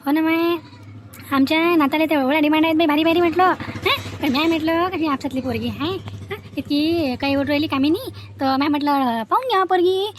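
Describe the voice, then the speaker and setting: A woman's voice: sits high, normal speed, slight background noise, natural voice. A woman voicing a chatty, boastful older village woman in a cartoon comedy, with a rural Vidarbha Marathi accent and folksy, repetitive talk. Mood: persuasive, boastful. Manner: talkative, folksy, repeats herself.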